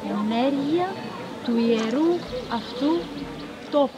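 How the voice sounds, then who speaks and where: Slow, lyrical woman, fairly high. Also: a crowd in the background.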